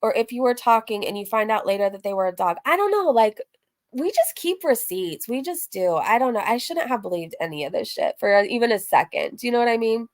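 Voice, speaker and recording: Woman, high-pitched voice, casual livestream recap, reads messages aloud, rambling, candid, frequent asides. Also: expressive, a little fast. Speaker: streamer and host of a reaction livestream.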